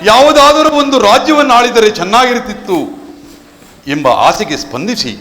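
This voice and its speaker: Bright, high-voiced lofty god. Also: male voice, expressive, moderate pace. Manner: authoritative, proclaiming. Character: lofty divine being proclaiming his own power. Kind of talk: declamatory mythological stage drama. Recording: reverberant hall, faint music behind.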